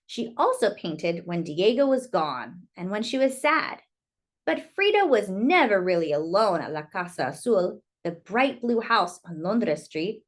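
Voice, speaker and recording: A woman's voice: medium pitch, very animated, measured pace. A woman reading a picture book aloud to young children, expressively, with chatty asides to her listeners about the pictures.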